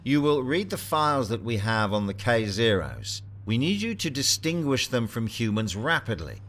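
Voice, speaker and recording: A man's voice: medium pitch, big pitch swings, normal speed, fairly bright tone. An actor playing a grim male task force leader in an audio drama, speaking in a terse, commanding military manner. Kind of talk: scripted audio drama narration and briefing.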